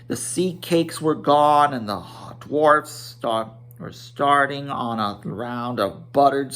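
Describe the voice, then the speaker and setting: A man giving an amateur dramatic storybook reading, expressive, with occasional stumbles over words. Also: medium pitch. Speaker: amateur audiobook reader. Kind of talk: dramatic storybook narration with character voices.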